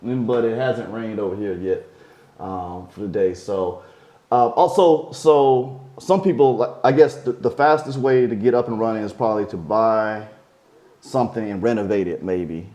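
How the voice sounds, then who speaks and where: A man's voice: on the low side, moderate pace. Chatty man interviewer in a roomy space. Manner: relaxed, chatty, with many short acknowledgments. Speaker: vlogger interviewer. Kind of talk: casual interview questions. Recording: roomy echo.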